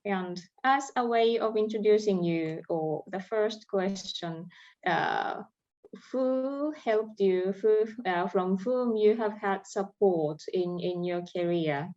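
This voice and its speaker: A woman's voice: medium pitch, slow, a little mellow. A woman business advisor moderating a panel, introducing her co-speaker and asking questions in a friendly, conversational way. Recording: dull lo-fi audio.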